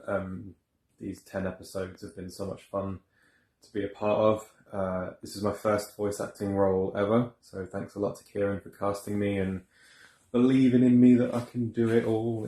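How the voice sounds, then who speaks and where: A man with a deep, mellow voice gives a casual, unscripted message of thanks. He is earnest and low-key, with little pitch movement. The room adds noticeable echo. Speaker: amateur voice actor. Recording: roomy echo.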